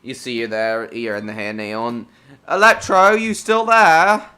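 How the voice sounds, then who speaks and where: A man from Hong Kong hosts a casual gaming live stream, talking to viewers by name in a bright, edgy tone. He rambles and repeats himself as he talks. When the game goes wrong he breaks into swearing. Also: mid-range voice, unhurried. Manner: bursts into swearing at game mishaps.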